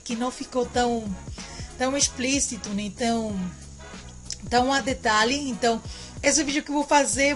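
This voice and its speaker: A woman's voice: sits high, fairly bright tone. A woman from Mexico, whose first language is Spanish, chats to camera in a Mexican Spanish accent, rambling, repeating herself and tagging lines with "né". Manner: chatty, rambling, repeats phrases and tags with "né". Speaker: vlogger. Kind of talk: casual chat to camera.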